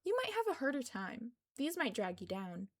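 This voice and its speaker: A woman's voice: a little high, sing-song, moderate pace. A woman voicing a young, curious boy in a story, frank and inquisitive.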